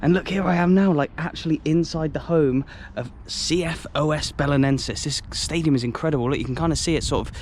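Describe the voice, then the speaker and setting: A man's voice: a little high, brisk, few pauses, a little mellow, a little hiss or hum. An English man filming a football travel vlog, walking round a stadium outdoors and exclaiming about it to a handheld camera. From England. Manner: enthusiastic, exclaims often.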